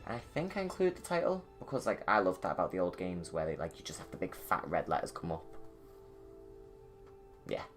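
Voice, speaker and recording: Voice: deep voice, very animated, fairly quick, long pauses. A video creator giving casual, rambling commentary on a film in an enthusiastic, gushing fan's manner. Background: music.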